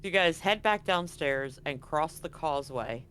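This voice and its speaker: A man's voice: on the high side, lively intonation, unhurried, sharp tone, slight background noise. A man running a tabletop role-playing game as game master, narrating scenes and options in a casual, conversational way.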